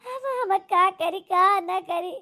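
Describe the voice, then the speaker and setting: Woman acting a sobbing, high-voiced Awadhi daughter. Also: pushed and bright, exaggerated voice. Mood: tearful remorse. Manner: wailing, drawn-out lament. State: crying. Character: guilt-stricken grieving daughter, remorseful and pleading. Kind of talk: amateur cartoon melodrama.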